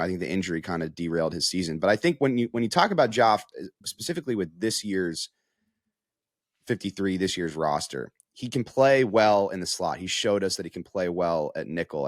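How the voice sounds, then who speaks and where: A man's voice: fairly low pitch, no echo, clean studio sound. A man giving casual sports analysis, explaining his points step by step in a conversational way.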